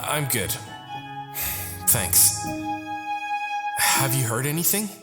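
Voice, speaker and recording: Loud music and a long reverb surround a man playing a calm, reassuring friend. His voice sits at a middling pitch, in audio drama style. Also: slow. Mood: calm, concerned. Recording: echoing hall reverb under loud music.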